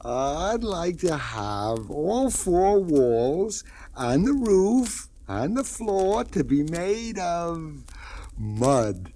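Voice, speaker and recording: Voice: mid-range voice, big pitch swings, very slow, noisy background. An actor playing a childish, carefree, mud-loving boy piglet on a 1960s children's storybook record. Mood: blissful.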